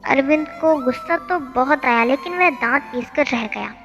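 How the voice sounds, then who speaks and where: गूँज भरी रिकॉर्डिंग में पीछे संगीत के साथ एक महिला लिखी हुई धार्मिक नीति-कथा सुना रही है।. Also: female voice, sits high, normal speed, a bit bright. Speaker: storyteller. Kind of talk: scripted narration of a devotional moral tale. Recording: long hall reverb, music bed. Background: music.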